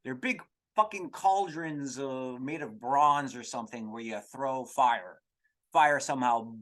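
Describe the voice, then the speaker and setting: A man hosting a comedy podcast, rambling through an irreverent, profane monologue in a halting, digressive way. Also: medium pitch, fairly bright tone. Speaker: podcast host.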